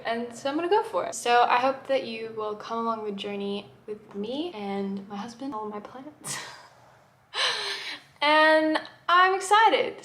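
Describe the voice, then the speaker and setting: A woman's voice: a little high, a bit bright, slight background noise. A woman in her twenties with a mixed American accent, chatting upbeat to camera in a casual vlog intro at home. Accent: mixed American with shifting traces. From grew up in the UK and the Middle East. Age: 20s. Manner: chatty and upbeat, strings of rhetorical questions. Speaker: vlogger. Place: home room with plants. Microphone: camera in front of her.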